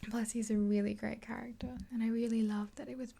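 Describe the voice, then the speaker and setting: Casting: woman in her twenties, soft husky whisper, subdued intonation, casual ASMR makeup-artist roleplay. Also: fairly high pitch, level tone, a little fast. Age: 20s. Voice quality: breathy whisper. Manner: soft, gentle, rambling.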